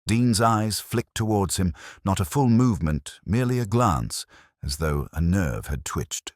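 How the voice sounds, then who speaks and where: A middle-aged man reading a scripted first-person detective story in a measured, brooding manner, with deliberate pauses. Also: low-pitched, animated, dry recording, clean studio sound. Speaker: narrator.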